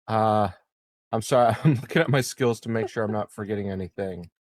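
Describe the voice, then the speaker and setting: A man's voice: on the low side, a little fast, darkish tone, dry recording. A man playing a tabletop roleplaying game, chatting casually, joking and swearing.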